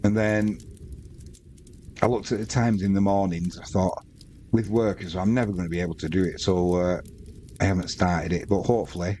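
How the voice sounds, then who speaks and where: A man's voice: sits low, fairly even intonation, a little fast, pauses often, warm tone, a little hiss or hum. A man with a Manx accent hosting a live sports stream, chatting casually and reading out viewer comments between bits of match commentary.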